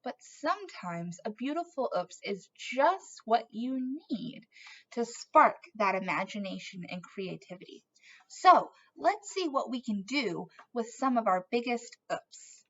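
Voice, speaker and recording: A woman with a bright, fairly high voice guides children through a craft demonstration. She speaks as an encouraging teacher addressing young viewers directly. The recording is a little dull and lo-fi. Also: big pitch swings, a little slow. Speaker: art teacher presenting a tutorial.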